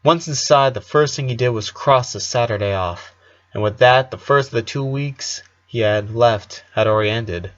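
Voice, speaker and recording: A man's voice: medium pitch, normal speed. A man narrating a story aloud as a reader who now and then stumbles on a word, recorded slightly dull. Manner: occasional stumbles over words while reading. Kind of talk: fan fiction read aloud.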